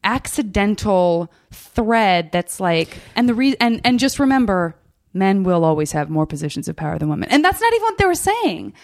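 An American woman hosting a podcast, chatting in a loose, tangential way and thinking out loud. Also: mid-range voice, sing-song, normal speed, hardly any pauses, dry recording, clean studio sound. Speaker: podcast host. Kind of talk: casual chat interview.

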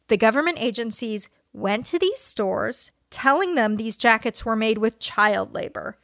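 A woman's voice: high voice, fairly bright tone, no room sound. Woman reading as an indignant, defiant evangelist preacher, close dry sound. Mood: boastful, aggrieved. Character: aggrieved male evangelist preacher, defiant and conspiratorial. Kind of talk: dramatic reading of a religious tract.

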